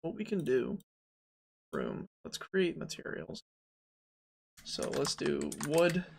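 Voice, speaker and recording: Gravelly-voiced twenty-something game dev streamer. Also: mid-range voice, expressive, slow. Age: 20s. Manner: joking, rambling, self-talk with fillers. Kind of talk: casual live dev stream, thinking aloud.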